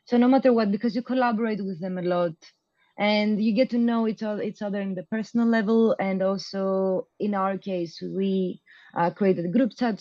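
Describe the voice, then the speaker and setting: A woman's voice: mid-range voice, warm tone, dry recording, muffled recording. Young woman, hesitant interview answers. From Greece. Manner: loose and hesitant, many fillers and restarts. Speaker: program graduate guest.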